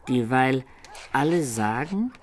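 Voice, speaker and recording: A man's voice: medium pitch, animated, a little hiss or hum. A man playing a roguish medieval Italian of peasant birth in a radio play, who halts and labours over archaic words as he writes his chronicle. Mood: sly, musing. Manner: laborious, halting dictation while writing, archaic wording.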